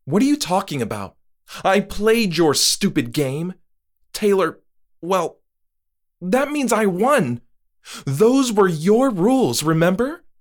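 Guy doing a scared pleading lady, way higher than his own voice. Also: sing-song, slow pace, exaggerated voice. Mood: indignant. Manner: pleading, raised and shrill. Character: frightened, defiant older woman, Moira.

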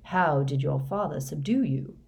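A lowish, composed old wizard, voiced by a woman narrator in a storybook. He speaks quietly and deliberately, with firm authority as he interrogates. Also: gravelly. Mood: quiet, probing. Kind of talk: audiobook character voice.

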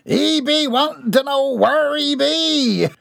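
Crude jeering pirate deckhands: high, drawling, gravelly sailor dialect. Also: male voice, slow pace, fairly bright tone, no echo, studio quality, heavily acted. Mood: rowdy jeering. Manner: rough pirate dialect, cackling. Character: rowdy cackling male pirate deckhands, crude.

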